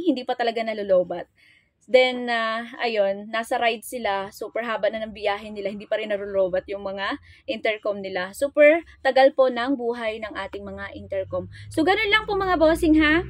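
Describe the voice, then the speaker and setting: Higher-voiced Filipino woman, casual tutorial vlogger. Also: no room sound. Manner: chatty, informal, addressing viewers directly. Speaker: vlogger and motorcycle gear seller demonstrating products.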